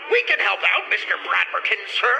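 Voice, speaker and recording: A man's voice: high-pitched, very animated, moderate pace, vocal fry, pushed and bright, full character voice, rough recording. A man playing an eager, wholesome young hero with an earnest gee-whiz manner in a cheesy radio drama. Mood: earnest, reassuring.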